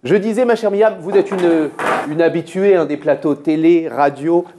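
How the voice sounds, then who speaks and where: A man's voice: fairly high pitch, moderate pace, background noise. A man hosting a TV talk show, teasing his co-host and greeting his guest in a playful, mock-formal way.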